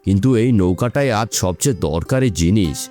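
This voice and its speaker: A man playing an earnest, seasoned village boatman, moralizing in an animated story. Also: low voice, brisk. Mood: earnest, reflective.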